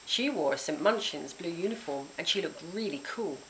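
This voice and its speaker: A woman narrating a children's storybook in an expressive, dramatic and emphatic way. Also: fairly low pitch, moderate pace, a little hiss or hum, low-quality recording. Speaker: author reading their own book.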